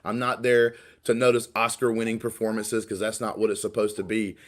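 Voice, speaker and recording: Podcast co-host, man, late 30s, lowish voice, rambling and full of restarts. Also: monotone, brisk. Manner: rambling, repeats words, stumbles and restarts. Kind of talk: casual podcast conversation.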